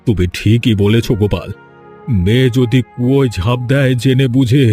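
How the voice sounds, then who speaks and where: A man's voice: mid-range voice, a little mellow, natural voice. A man voicing a proud, vengeful old village landlord and grieving father in a cartoon, brooding and imperious. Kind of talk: cartoon voice acting.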